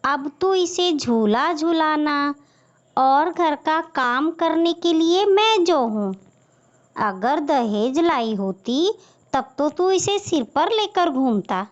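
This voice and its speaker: A woman's voice: sits high, animated, slow, muffled recording. A woman voicing a bitter, self-pitying elderly mother-in-law crow in a cartoon, given to scolding and sarcasm. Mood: sarcastic scolding. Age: older. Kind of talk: Hindi moral-story cartoon acting.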